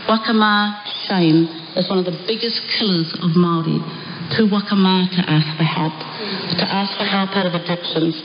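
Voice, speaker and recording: New Zealand woman, lowish voice, emphatic political speech with repeated phrases, echo, noisy radio. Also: fairly even intonation, normal speed. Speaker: party co-leader in parliament. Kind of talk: parliamentary speech. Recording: echoey chamber, muffled noisy shortwave relay.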